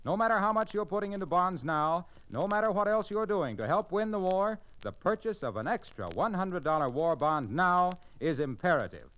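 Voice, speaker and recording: A man's voice: high voice, expressive, normal speed, few pauses, fairly bright tone, clean tone. A man announcing on 1940s radio in an emphatic, urgent, declamatory scripted style, on an old transcription recording.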